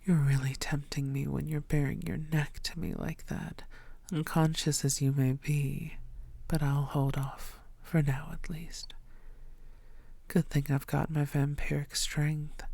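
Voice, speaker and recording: Woman acting as a slow, low, possessive vampire lover. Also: dark tone, no echo, heavily acted. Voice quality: breathy, often dropping to whispers. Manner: slow, tender, possessive murmuring. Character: possessive, lovestruck female yandere vampire, ancient and seductive. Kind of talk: intimate ASMR audio roleplay.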